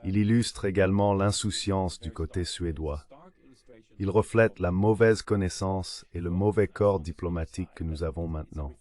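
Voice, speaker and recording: A man's synthetic voice reading translated political discussion as a measured voice-over. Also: low-pitched, darkish tone. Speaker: voice-over translator of interview guests. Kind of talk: dubbed political discussion, measured read delivery.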